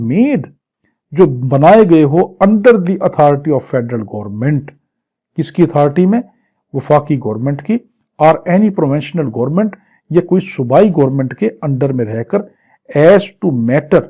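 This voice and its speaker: A man's voice: a little high, mellow, dull audio. A man with a Pakistani accent giving an exam-prep law lecture, explaining didactically with questions he answers himself and mixing Urdu with English legal terms. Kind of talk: exam-prep lecture, reading statute phrases and explaining them.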